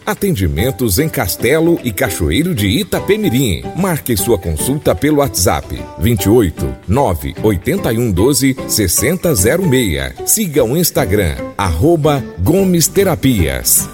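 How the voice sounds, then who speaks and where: A man's voice: mid-range voice, huge pitch swings, measured pace, hardly any pauses. A Brazilian man reading a scripted radio advertisement as an announcer. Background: music.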